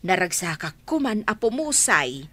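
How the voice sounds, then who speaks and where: A woman's voice: on the low side, very animated, a little slow, pushed and bright, exaggerated voice. A woman acting the part of an aging mother who pleads for grandchildren in an Ilocano radio drama. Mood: pleading.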